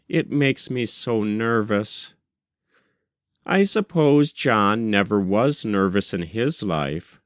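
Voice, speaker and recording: Unhurried, expressive male audiobook reader. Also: lowish voice, clear, resonant tone, dry recording, studio quality. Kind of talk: expressive literary narration of a first-person story.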